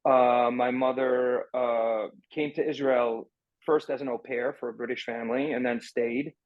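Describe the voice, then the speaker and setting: A man's voice: medium pitch, steady tone, measured pace, dry recording, dull audio. A man on a video call, telling a long personal story with a lot of fillers. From Germany. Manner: rambling, many fillers. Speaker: actor, interview guest. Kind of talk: casual conversation. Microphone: laptop video call.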